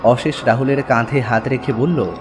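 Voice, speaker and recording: A man's voice: medium pitch, fairly even intonation, moderate pace. A man narrating a horror audio story in a dramatic, scripted style. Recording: music behind the voice.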